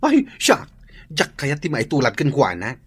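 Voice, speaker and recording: A woman's voice: rumbling low, huge pitch swings, measured pace, breathy edge, exaggerated voice. An actress with an Ilocano accent playing a feisty, resentful village woman, scolding melodramatically in a comic radio drama. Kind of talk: comedic radio drama acting.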